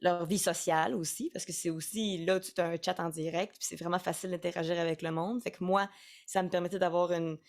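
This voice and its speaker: A woman's voice: fairly low pitch, steady tone, brisk, darkish tone. A young woman with a Quebec French accent, a singer-songwriter chatting casually in an interview and often restarting her words.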